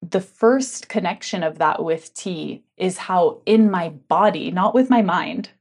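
A woman's voice: lowish voice, measured pace, a little mellow. A woman who grew up in Hong Kong, a guest on a podcast, speaks candidly and reflectively about her life in a casual chat full of "like" fillers. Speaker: guest, tea ceremony guide.

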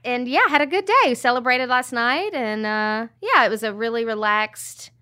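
A woman author talks through her day in relaxed podcast chat, trailing off on fillers and laughing often. Her voice has a bright, clear edge. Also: a little high, moderate pace. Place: recording studio.

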